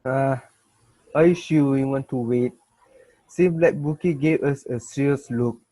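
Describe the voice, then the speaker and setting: A man's voice: medium pitch, measured pace, warm tone, no echo, natural voice, poor audio. A man playing a nervous, doubtful young student, hesitant, in an amateur dramatic reading.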